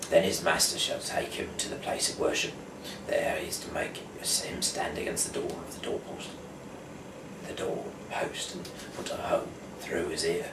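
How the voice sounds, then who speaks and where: Casting: man from the UK, very low voice, halting amateur reader, recorded at a distance in a reverberant room. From United Kingdom. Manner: halting, stumbles over words. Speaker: host, amateur Bible reader. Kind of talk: casual rambling talk, then reading scripture aloud. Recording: echoey room, distant mic, clear background noise.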